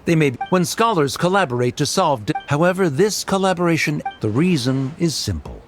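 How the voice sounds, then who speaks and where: A man's voice: fairly high pitch, sing-song, brisk, soft background music. A man voicing a pompous, condescending scholar in an anime video game, debating formally and sternly. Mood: dismissive.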